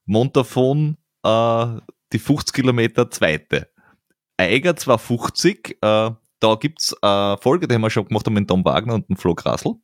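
Stammering man, lively low voice. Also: moderate pace, no echo, studio quality. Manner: stammering restarts, lively and laughing. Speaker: podcast host. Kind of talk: casual interview chat.

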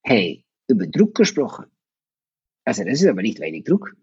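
A man's voice: on the low side, huge pitch swings, moderate pace, a little mellow, breathy edge, no room sound, highs cut off. A Dutch man in his fifties, a native Dutch speaker, talking casually with a Dutch accent, hesitant and full of fillers and restarts. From Netherlands. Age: 50s. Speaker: guest, parent of athletes. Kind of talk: casual conversation.

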